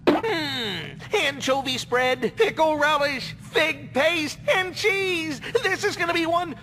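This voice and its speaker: An actor playing a goofy, cowardly, food-obsessed young slacker in a cartoon game, with an excitable drawl. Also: male voice, very high voice, slow, full character voice. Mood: delighted. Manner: drawling, excitable, says "like" often.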